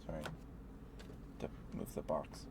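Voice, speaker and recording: A man streaming rambling, hesitant ASMR chat in a meandering way, close to the microphone. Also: measured pace. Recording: clearly noisy room ambience.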